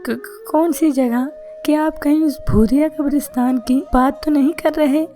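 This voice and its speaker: A woman's voice: sits high, fairly even intonation, a little slow, dark tone, faint music behind. A woman voicing an anxious mother in a cartoon story who stammers with fear. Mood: worried. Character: worried mother of a missing boy.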